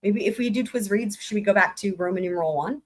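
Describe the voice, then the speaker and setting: A woman hosting a casual livestream, excited and rambling, her voice going hoarse. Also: mid-range voice, fast. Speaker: livestream host.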